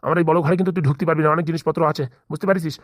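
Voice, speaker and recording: A woman voicing a wary, grumbling middle-aged village man in a comedy cartoon, haggling gruffly. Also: deep voice, fairly even intonation, very fast, dry recording, studio quality. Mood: bargaining.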